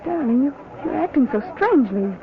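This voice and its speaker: An anxious, pleading young wife. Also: female voice, high voice, sing-song, brisk. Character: worried young wife Louise. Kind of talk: 1940s radio acting. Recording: dull old radio broadcast.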